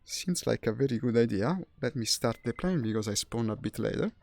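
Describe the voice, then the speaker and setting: Italian-accented man with a gravelly edge. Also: medium pitch, lively intonation, a little fast, darkish tone, no room sound. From Italy. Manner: chatty, thinking aloud while juggling game and chat. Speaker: streamer. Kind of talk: casual gaming chat.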